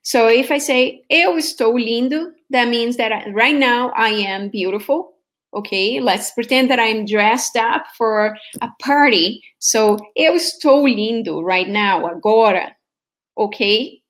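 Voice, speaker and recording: Slow, patient Brazilian woman teaching. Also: fairly high pitch. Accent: Brazilian Portuguese. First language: Portuguese. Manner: patient, explains slowly, checks in with "okay?". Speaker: Portuguese teacher. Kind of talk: sample language lesson.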